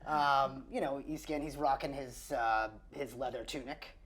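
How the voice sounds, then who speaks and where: A woman player improvising her character in a tabletop roleplaying game, chatting casually in a wry, joking, halting way. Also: extremely low pitch, fairly even intonation, background noise.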